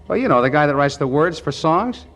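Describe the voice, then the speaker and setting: An actor playing a brash, naive young small-town songwriter in 1940s radio comedy, eager, boastful and stammering, with a folksy way of talking. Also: male voice, fairly high pitch, very animated, a little fast, fairly bright tone, full character voice. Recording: noisy vintage 1940s radio broadcast.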